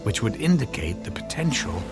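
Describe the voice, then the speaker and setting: An actor playing an earnest, anxious physicist husband in a radio drama, explaining his invention in halting, stammering phrases. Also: on the low side, very animated, over loud music. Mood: earnest, explanatory.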